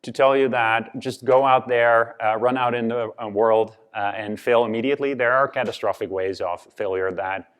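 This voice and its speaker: A man's voice: medium pitch, fairly even intonation, clean tone. Dutch-accented man giving a conference talk. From Netherlands. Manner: halting, many fillers and restarts. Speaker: conference speaker. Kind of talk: conference presentation.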